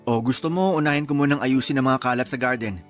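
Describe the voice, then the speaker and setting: A man's voice: mid-range voice, fast talker, natural voice. A man playing a mild, placating young Filipino husband and father in a radio drama. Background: music.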